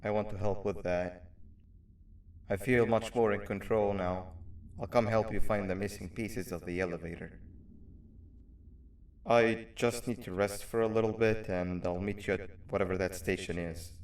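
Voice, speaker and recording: A man's voice: fairly low pitch, fairly even intonation, normal speed, pauses often, lightly acted. A man playing a friendly, easygoing monster ally in a video game, talking plainly and sincerely. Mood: earnest, apologetic. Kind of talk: video game character dialogue. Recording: roomy, distant, faint music behind.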